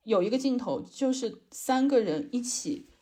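A woman's voice: fairly high pitch, lively intonation, normal speed, dark tone. A woman co-hosting a podcast, chatting casually and emphatically, often checking for agreement with tag questions. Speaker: podcast co-host. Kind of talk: casual chat, opinionated commentary.

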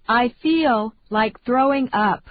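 A woman reading English phrases slowly and deliberately for learners to repeat. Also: on the high side, no room sound. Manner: slow, deliberate, carefully enunciated. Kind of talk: slow repeat-after-me drill. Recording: dry, tinny phone-like sound.

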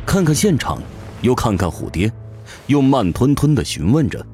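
A man's voice: mid-range voice, sing-song, normal speed, darkish tone. A man giving dramatic scripted narration of a fantasy audio novel. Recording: music behind the voice. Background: music.

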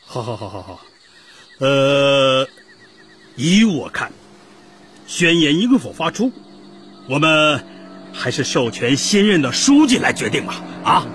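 A man's voice: medium pitch, sing-song, takes it slowly, pauses often, fairly bright tone, a little hiss or hum. A man acting in a Chinese historical radio drama as an earnest, formal chairman of a secret revolutionary meeting. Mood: conciliatory, hesitant.

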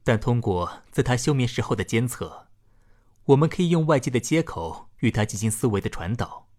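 An actor playing a calm, formal doctor in a science-fiction radio drama, speaking in a measured, polite, clinical way. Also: male voice, medium pitch, natural voice. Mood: clinical, explanatory. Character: calm, formal male doctor delivering a diagnosis.

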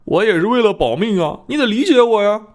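A man's voice: high voice, animated, fairly quick, pitched above the performer's own voice. A man voicing a disgruntled, cheeky fat apprentice in an audiobook, with an emphatic, complaining delivery.

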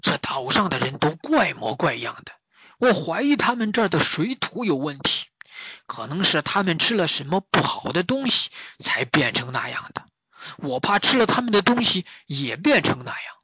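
A storyteller voicing a hungry, boastful old martial arts master in a children's story, with a high, strained voice. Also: very animated, moderate pace, husky, no echo, muffled recording. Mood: grumbling.

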